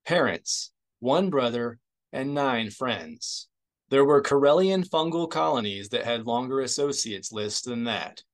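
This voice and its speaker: A man's voice: medium pitch, lively intonation, a little slow. Man reading fiction as narrator. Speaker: audiobook narrator.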